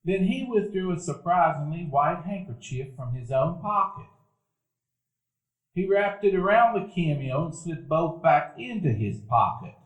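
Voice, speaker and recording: An actor narrating a radio play as a grown man recalling his boyhood, in a measured, reflective storytelling manner. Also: fairly high pitch, animated. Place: dinner theater stage before a live audience. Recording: echoey live stage recording.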